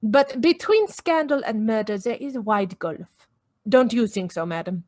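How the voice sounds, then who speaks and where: A woman's voice: a little high, lively intonation, normal speed, a little mellow, natural voice. An actress playing a proud, defiant Viennese lady in a radio play, speaking formally with poised command. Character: elegant, imperious Viennese woman, proud and defiant. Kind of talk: dramatic radio-play acting.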